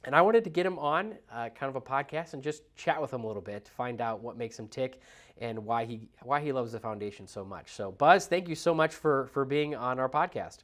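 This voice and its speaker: A man's voice: medium pitch, animated, brisk. Guy in his 30s hosting a podcast, kinda congested, lots of ums, lapel mic. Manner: chatty, filler-heavy, earnest. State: congested from a cold. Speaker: podcast host. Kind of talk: casual interview chat. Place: small studio lounge set.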